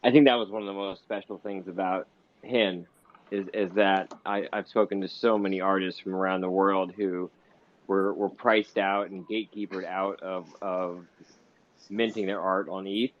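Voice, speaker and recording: Guy with a really deep even voice, bit halting, muffled online call sound. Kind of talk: casual live audio discussion. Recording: dull lo-fi online audio.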